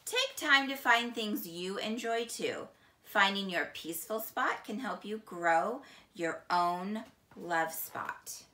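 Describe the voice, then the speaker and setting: Female read-aloud teacher, middle-aged, unhurried and expressive, crisp bright tone, slightly low-pitched, roomy sound. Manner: slow, deliberate, expressive storytelling. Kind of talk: children's picture book read-aloud. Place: small indoor room, seated on couch. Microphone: camera a few feet away. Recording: echoey room.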